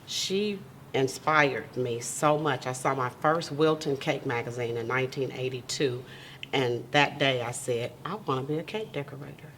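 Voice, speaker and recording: A woman's voice: extremely low pitch, moderate pace, fairly bright tone, slight background noise. A woman guest who owns a cake business, telling her story in a candid, earnest way during a casual interview.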